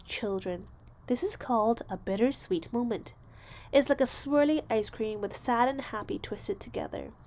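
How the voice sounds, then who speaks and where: A woman voicing a kind, patient, encouraging schoolteacher in a storybook, gentle and deliberate in her reassurance. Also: a little high, lightly acted. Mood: reassuring.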